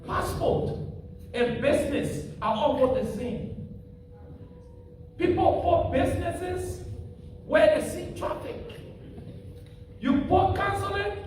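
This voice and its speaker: Earnest preacher in an echoey hall. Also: male voice, very high-pitched, slow, pauses often, a little mellow. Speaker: preacher speaking at a community meeting. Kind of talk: public comment at a meeting. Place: meeting hall. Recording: echoey hall, distant mic, noisy.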